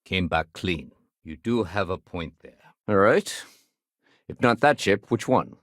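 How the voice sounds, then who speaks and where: Dutiful sci-fi security sergeant, very animated pitch. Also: male voice, a little slow, no echo, studio quality. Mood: businesslike. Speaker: security officer. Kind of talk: video game dialogue acting.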